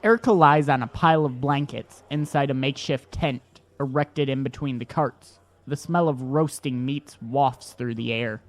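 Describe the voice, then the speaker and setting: A man narrating a drama in even, descriptive scripted lines. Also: mid-range voice, moderate pace.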